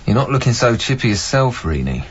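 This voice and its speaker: A man acts out an earnest young dad-to-be with a past as a party DJ. He has a mid-pitched voice in naturalistic radio drama style. The recording is dull, with some noise behind it. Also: big pitch swings, fairly quick, lightly acted. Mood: weary, kind. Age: young adult. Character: earnest young expectant father, reformed party-going DJ. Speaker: actor in a radio play.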